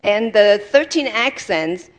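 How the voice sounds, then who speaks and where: A woman interpreting a sermon aloud, haltingly, piecing sentences together in short fragments. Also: medium pitch, expressive.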